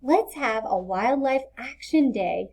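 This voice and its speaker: A woman voicing an earnest, expressive young boy in a children's storybook read-aloud. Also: a little high, takes it slowly, exaggerated voice. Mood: eager. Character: young boy Leo, earnest, worried about animals.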